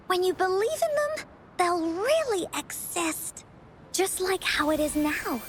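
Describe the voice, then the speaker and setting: Very high, spirited little storyteller girl. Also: slight background noise, exaggerated voice. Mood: hopeful, encouraging. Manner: theatrical, deliberate storytelling with dramatic pauses. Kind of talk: video game voice acting, dramatic storytelling.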